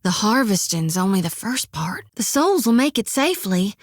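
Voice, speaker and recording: An actress voicing a devout, fiery young priestess in a video game, with a folksy drawl and country sayings, recorded on a dry studio mic. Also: female voice, mid-range voice, very animated, normal speed, no room sound. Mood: earnest. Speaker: game companion character.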